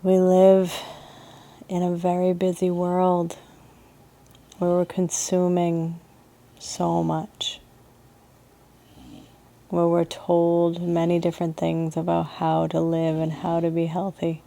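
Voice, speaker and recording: A woman leading a guided meditation as a yoga teacher, speaking in a soothing, gentle manner with unhurried pauses. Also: lowish voice, completely flat delivery, vocal fry.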